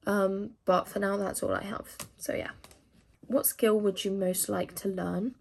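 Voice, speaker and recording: A woman's voice: mid-range voice, fairly even intonation, measured pace, vocal fry, darkish tone, slightly rough. A teenage woman from England chatting casually to camera, rambling with lots of fillers as she answers questions. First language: English. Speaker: vlogger.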